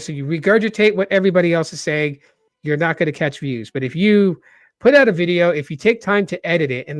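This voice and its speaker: A man's voice: fairly high pitch, a bit bright, dry recording. A man in his forties with a light voice, talking casually as a tech channel host, rambling and repeating words with lots of fillers. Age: 40s. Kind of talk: casual vlog talk.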